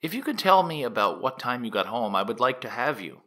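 An actor playing a methodical nineteenth-century prosecutor in a courtroom drama, questioning formally and precisely. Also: male voice, medium pitch, big pitch swings, a little fast, bright, forceful tone. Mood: formal, probing. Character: methodical, probing 19th-century male prosecutor questioning a witness. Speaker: actor reading a trial lawyer. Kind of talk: dramatic reading of courtroom transcript.

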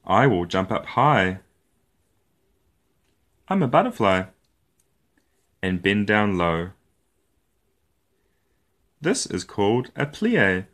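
Low-voiced man reading a children's story, animated. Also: slow pace, pauses often. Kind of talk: animated read-aloud storytelling for young children.

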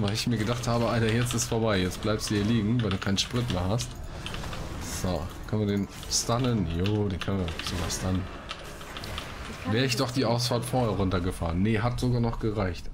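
A man doing casual let's play commentary, chatty and colloquial, often breaking off into exclamations. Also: medium pitch. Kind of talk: casual gaming commentary, reading quests aloud. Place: room at home. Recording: clear background noise, typing.

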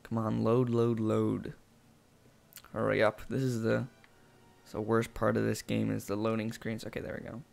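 A man casually commenting as he plays a video game, chatty and talking himself through each move, his voice gravelly after just waking up. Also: on the low side, completely flat delivery, normal speed, darkish tone. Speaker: gameplay commentator. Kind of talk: casual let's-play commentary. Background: game music and sound effects.

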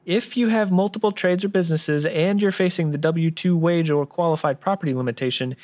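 A man's voice: a little high, darkish tone, no echo, close to an everyday voice. Earnest radio-drama expert played by a man. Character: helpful male tax expert explaining rules, eager. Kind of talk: 1940s radio drama acting.

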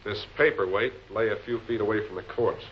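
Man acting American public prosecutor, low voice, composed, dry authority, 1940s TV crime drama, dull film sound. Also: a little fast, natural voice. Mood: brisk, composed. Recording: muffled, noisy old 16 mm film soundtrack.

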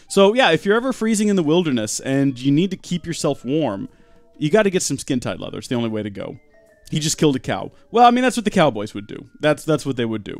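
A young man rambles through live game commentary with deadpan jokes. His pitch swings up and down a lot as he talks. Faint music plays underneath. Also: no echo. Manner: rambling deadpan joking, animated. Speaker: streamer.

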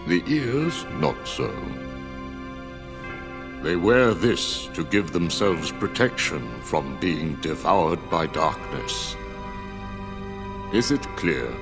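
A cryptic cloaked stranger, very deep. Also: male voice, big pitch swings, exaggerated voice. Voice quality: deep gravelly voice. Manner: cryptic, measured, solemn. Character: mysterious cloaked older man, cryptic. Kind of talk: video game voice acting. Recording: game audio with loud music underneath.

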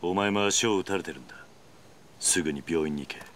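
Veteran gangster hero: low, forceful voice, grave and terse, commanding yet protective, game cutscene. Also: male voice, brisk. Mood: stern. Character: stoic, commanding veteran yakuza man, fiercely protective. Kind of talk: dramatic video game voice acting.

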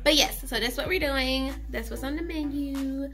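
A woman's voice: sits high, normal speed, a bit bright, faint music behind. A woman in her twenties chatting informally to viewers as she cooks in a home kitchen, recorded on a handheld camera. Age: 20s. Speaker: home cooking vlogger. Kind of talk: casual cooking vlog chat. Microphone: handheld camera at arm's length.

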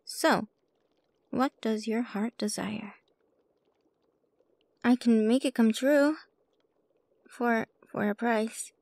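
A woman playing a sly, playful witch shopkeeper with a soft heart in an ASMR roleplay, coy and teasing as she addresses the listener. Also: a little high, slow pace, long pauses, no room sound. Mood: mysterious, alluring. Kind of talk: ASMR roleplay, scripted character monologue.

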